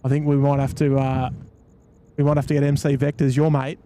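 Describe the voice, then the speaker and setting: A man's voice: mid-range voice, flat delivery, fairly quick. A man hosting a plane-spotting stream, eager and stammering, repeating his words as he talks. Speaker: plane-spotting livestream host. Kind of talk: casual chat. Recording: loud outdoor traffic noise.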